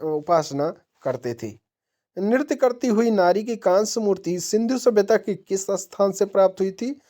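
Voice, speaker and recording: A woman teacher with a soft-edged, mellow tone reads out quiz questions and answers them herself. She is methodical, her pitch sits in the middle, and her sentences tend to trail downward at the end. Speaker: exam-prep teacher.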